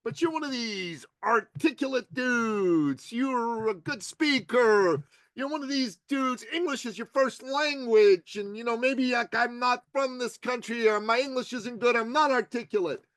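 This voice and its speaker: A man's voice: very high-pitched, a little slow, fairly bright tone, no echo, pitched above the performer's own voice. A man voicing a skeptical male listener who protests and raises objections in an incredulous, whiny way. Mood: doubtful.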